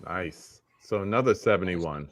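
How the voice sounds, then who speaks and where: A man's voice: low voice, normal speed, no room sound. Laid-back middle-aged male stream host. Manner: laid-back, shouting out chat viewers. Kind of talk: live stream roundtable hosting.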